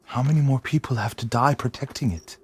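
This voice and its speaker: Actor as an earnest warrior monk, mid-pitched. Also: male voice, brisk, slight background noise, natural voice. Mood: grave. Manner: earnest, grave. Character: earnest, grieving warrior monk, devoted and stubborn. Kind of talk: dramatic video game cutscene acting.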